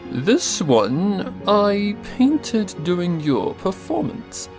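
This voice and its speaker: Man playing a slow, earnest young painter stallion. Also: high voice, huge pitch swings, heavily acted. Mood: earnest, reflective. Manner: halting, earnest. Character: poor painter stallion, nervous, earnest and gentle. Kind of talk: audio drama character acting. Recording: music behind the voice.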